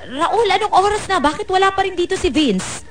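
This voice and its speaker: A woman's voice: very high voice, expressive, a little fast. An actress playing an exasperated mother with a Filipino accent in a radio drama, pleading and indignant. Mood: anxious, angry. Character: exasperated, hardworking mother confronting her son's father. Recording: music bed behind the voice.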